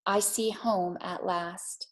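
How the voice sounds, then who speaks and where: A woman's voice: on the low side, fairly even intonation, slow, slight background noise. Woman, teacher, read-aloud style, child-directed, simple encouraging phrasing. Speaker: teacher reading aloud and setting a challenge for young children. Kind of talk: picture book read-aloud and children's lesson.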